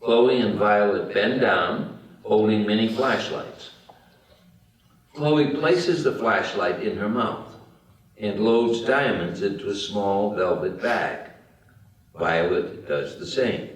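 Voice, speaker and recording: A man's voice: lowish voice, slow pace. A man narrating the stage directions of a screenplay at a table read. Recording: roomy, distant mic.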